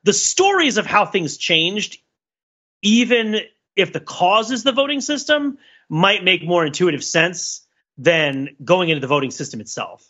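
Male podcaster, sharp bright tone, digressive casual chat, somewhat muffled audio. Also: high voice, expressive, measured pace, slightly rough. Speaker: podcast co-host.

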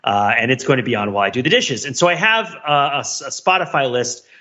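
A man's voice: mid-range voice, animated, fast, sharp tone, muffled recording. A middle-aged man co-hosting a casual podcast, explaining things at length in an analytical, rambling, mock-academic way. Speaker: podcast co-host.

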